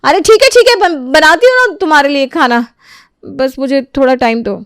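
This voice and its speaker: A woman's voice: sits high, normal speed, no room sound, studio quality, pitched above the performer's own voice, close to an everyday voice. A woman playing an overworked young daughter-in-law in an audio story, weary, whining and plaintive. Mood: pleading.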